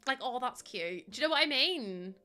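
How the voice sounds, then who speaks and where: A woman's voice: on the high side, lively intonation, moderate pace, pushed and bright. A young woman with a Northern Irish accent chatting on a live stream, giggly and chatty, using local slang. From Northern Ireland. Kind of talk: casual chat with live chat. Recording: faint music behind.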